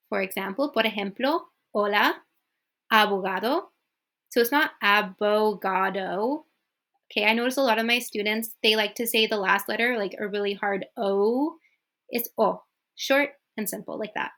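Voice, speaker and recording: A young woman, a native English speaker, giving an upbeat casual video lesson, slowly repeating examples and checking in with "okay?". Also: on the high side, bright, forceful tone. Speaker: Spanish teacher.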